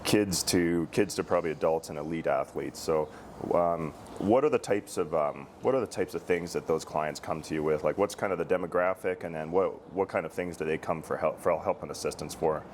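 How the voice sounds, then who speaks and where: Very low-voiced man hosting a casual interview. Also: a little mellow, slight background noise. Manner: halting, repeats words while phrasing questions. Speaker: interview host, girls hockey director.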